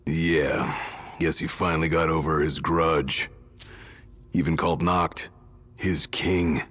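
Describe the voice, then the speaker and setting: Very deep, blunt bodyguard. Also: male voice, fairly even intonation, slow pace, a bit bright, husky, full character voice. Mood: determined. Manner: terse, blunt, casual. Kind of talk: video game cutscene acting. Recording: music over the voice.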